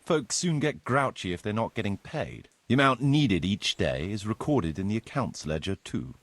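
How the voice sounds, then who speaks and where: Video game role: practical, courteous village steward, husky and measured, recorded close and dry. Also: male voice, on the low side, lively intonation, no room sound. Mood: explanatory. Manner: measured, formal, instructive. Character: practical medieval village steward, courteous, businesslike adult man. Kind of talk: video game cutscene dialogue, explanatory.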